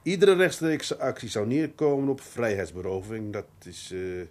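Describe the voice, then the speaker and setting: A man acting in a radio drama as a seasoned police detective who lectures his younger colleague in a measured, pedantic way. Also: on the low side, big pitch swings. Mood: firm, reasoning.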